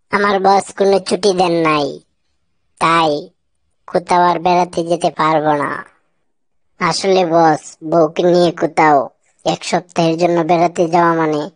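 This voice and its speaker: A woman's voice: lowish voice, no room sound. A woman voicing a cheeky married man and joking buddy in a comic cartoon skit, with exaggerated, teasing delivery. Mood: cheeky, grateful.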